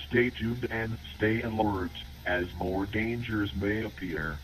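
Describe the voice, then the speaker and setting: A man's voice: on the low side, takes it slowly. A man playing a solemn emergency news narrator reading a scripted horror bulletin. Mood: solemn warning. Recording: noisy emergency broadcast audio.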